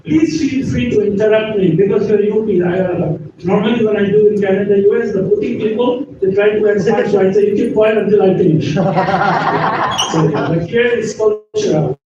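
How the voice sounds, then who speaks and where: A man's voice: sits high, animated, normal speed. An older man giving a chatty, joking talk to a university audience as guest speaker. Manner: chatty, jokes and laughs with the audience. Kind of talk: informal lecture to an audience. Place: university lecture hall. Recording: echoey room, distant mic, dull lo-fi sound with noise.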